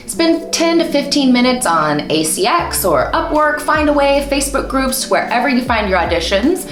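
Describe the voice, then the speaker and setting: An animated woman in her thirties, talking straight to camera and gesturing as she explains things. Her pitch leaps around, and her voice rings in a roomy echo with music underneath. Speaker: voice actor and host. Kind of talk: upbeat instructional vlog. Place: small room at home.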